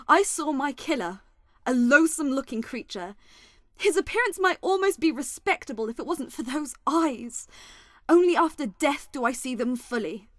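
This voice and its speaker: An actress playing a genteel Edwardian general's wife with a British accent, refined and wistful, narrating in a radio play. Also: female voice, high voice, fairly bright tone, no echo, clean studio sound. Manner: refined, wistful, period diction. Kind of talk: radio play acting, first-person narration.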